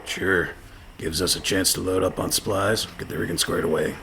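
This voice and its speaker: An actor playing a gruff, plain-spoken pirate crewman in a comic radio play. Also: sits low, fairly even intonation, normal speed, a bit bright, a little hiss or hum. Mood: agreeable.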